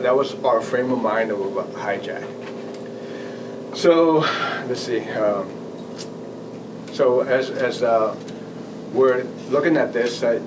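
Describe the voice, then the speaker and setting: Low-voiced American man, recorded from afar. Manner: halting, conversational, acting out quoted exchanges. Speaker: guest speaker, air traffic manager. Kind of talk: informal spoken recollection to a group. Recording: distant mic, noisy room ambience.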